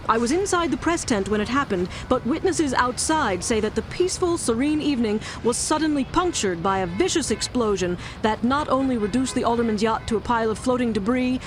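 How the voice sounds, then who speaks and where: A woman's voice: a little high, natural voice. An actress playing an earnest female TV news reporter, speaking formally and seriously as in a live broadcast. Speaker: field reporter. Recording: faint outdoor background noise.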